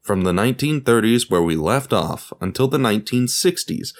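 A man's voice: lowish voice, expressive, moderate pace. A man presenting a podcast as its host, reading scripted narration in an explanatory way. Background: music.

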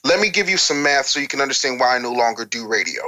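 A man's voice: medium pitch, animated, fast talker, sharp tone. An American man speaking as an interview guest, candid and conversational, with a blunt, emphatic, streetwise way of talking. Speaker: interview guest, former radio DJ and record maker.

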